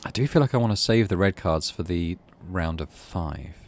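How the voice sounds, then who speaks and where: A man's voice: low voice, expressive, moderate pace. A man giving a casual let's play commentary, thinking aloud and reading story text from the game. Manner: thinks aloud while playing. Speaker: gaming channel host playing a visual novel.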